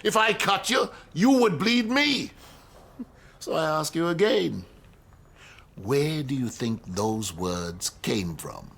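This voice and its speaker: A pompous prof in a radio play, bit raspy, sharp and forceful, argues in big wordy speeches. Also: male voice, a little high, lively intonation, slow pace, full character voice. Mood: domineering. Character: arrogant, defensive male university professor under fire. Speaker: actor in a radio drama. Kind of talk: naturalistic radio drama acting.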